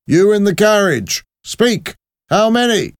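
Brash male soldier, voiced by a male narrator: high, loud, barking, boastful storybook bully. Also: animated, takes it slowly, clean tone, dry recording, clean studio sound.

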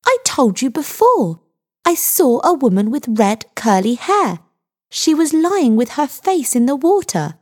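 A woman's voice: lowish voice, lively intonation, normal speed, few pauses, natural voice. A woman voicing an indignant sixteen-year-old girl in a story, firm, impatient and emphatic. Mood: exasperated, shouting. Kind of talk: audiobook character dialogue.

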